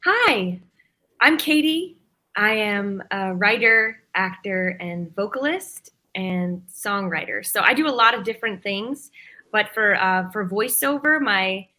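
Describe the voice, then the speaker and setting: Bright-voiced enthusiastic woman. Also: medium pitch. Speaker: voice actor and songwriter, panel guest. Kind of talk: casual self-introduction.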